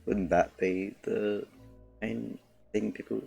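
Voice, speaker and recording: A man's voice: on the low side, fairly even intonation, takes it slowly, vocal fry, mellow, soft background music. A man streaming a game, making offhand, mumbling comments over the cutscene.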